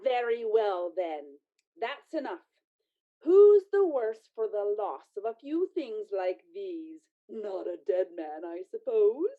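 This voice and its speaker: A shameless, scornful charwoman for a radio play. She speaks slowly and defiantly, with big swings in pitch. The recording is slightly dull. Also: sing-song. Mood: brazen. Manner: theatrical, defiant, emphatic. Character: brazen, scornful working-class charwoman, thieving and shameless. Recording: muffled, dull audio.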